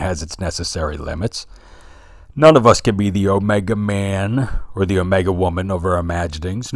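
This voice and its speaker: A man with a very low voice and a noticeable regional accent, reading his own philosophy essay aloud. He speaks deliberately and rhetorically, often turning to address his listeners. The sound is close and dry, with no room around it. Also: dry recording.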